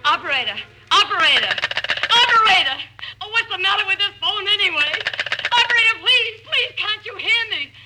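Frantic, shrill melodrama wife. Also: female voice, expressive, normal speed. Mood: panicked. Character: frantic, panicking wife trapped at a telephone. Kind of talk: 1940s melodrama acting. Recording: very noisy old radio recording.

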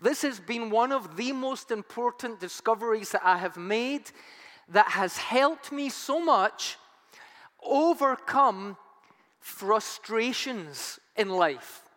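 Slow-talking pastor man with strained voice. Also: extremely high pitch, animated, fairly bright tone. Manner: chatty, self-mocking humor. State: strained, nearly lost voice. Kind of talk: sermon. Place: church hall. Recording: long hall reverb, faint music.

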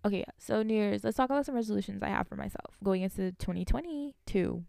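A woman in her late teens with a soft-edged, mellow voice at an average pitch. She rambles casually to her viewers, giggly and slangy, thinking out loud. The sound is close and dry, with no room echo. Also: fast, creaky. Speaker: vlogger, college student. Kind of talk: casual solo chat to viewers.

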